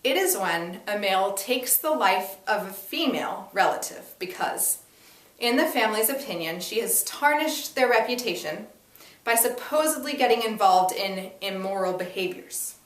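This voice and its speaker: A woman reads a first-person story as a dramatic performance. Her delivery is deliberate and emphatic, at a middling pitch. The room echoes clearly around her, and she sounds set back from the microphone. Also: animated. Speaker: reader performing a dramatic reading. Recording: echoey room, distant mic.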